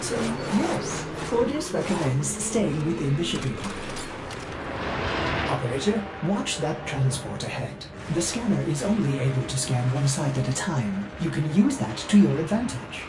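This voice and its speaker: A woman voicing a precise, dryly helpful artificial companion in a sci-fi game, echoing under loud music. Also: low-pitched, sing-song, moderate pace. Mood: dry, advising. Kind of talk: scripted game dialogue.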